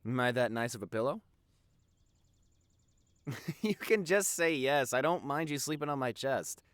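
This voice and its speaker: Man playing a doting, teasing boyfriend, lively swooping voice. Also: mid-range voice, no echo. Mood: playful, teasing. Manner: rambling, affectionate, stammering. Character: doting, teasing young popular boyfriend waking up. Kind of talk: intimate boyfriend audio roleplay.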